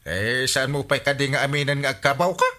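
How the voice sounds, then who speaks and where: An actor playing a bossy, excitable village captain in a comedy radio drama, scolding and insisting with an Ilocano accent. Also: male voice, mid-range voice, fairly quick, lightly acted. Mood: scolding, exasperated.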